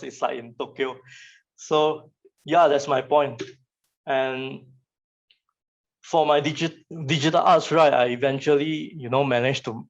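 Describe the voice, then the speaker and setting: A young man with a Malaysian accent and Chinese as his first language gives an informal talk to students as a guest speaker, in a halting, colloquial way that often repeats phrases. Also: mid-range voice. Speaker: guest speaker, photographer and digital artist. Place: online virtual session. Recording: muffled, lo-fi online call audio.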